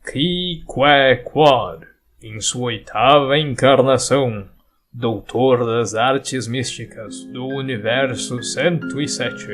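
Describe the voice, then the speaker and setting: Man playing a slow, solemn ceremonial herald. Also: medium pitch, a bit bright, faint music behind. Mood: solemn, formal. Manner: formal, measured, proclaiming. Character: solemn ceremonial male palace guard announcing honoured guests. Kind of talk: audio drama herald announcement.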